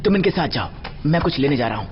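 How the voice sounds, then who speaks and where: A brash young cartoon monkey hero, acted by a man, boastful and cheeky. The voice sits fairly high and swings around in pitch. The audio is a little dull, with music underneath. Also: lively intonation, fast talker, lightly acted. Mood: confident. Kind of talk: animated film dub acting.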